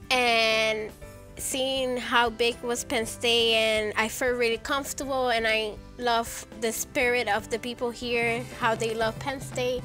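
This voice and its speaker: A woman student from Puerto Rico talking earnestly about choosing her university. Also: on the high side. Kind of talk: interview answers.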